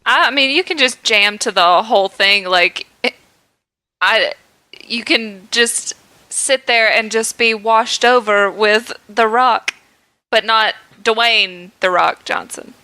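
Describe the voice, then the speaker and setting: A young woman gushes with giggly enthusiasm as she co-hosts a casual fan chat. Her voice is bright and slightly high. The sound is close and dry. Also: takes it slowly, no room sound. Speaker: podcast co-host.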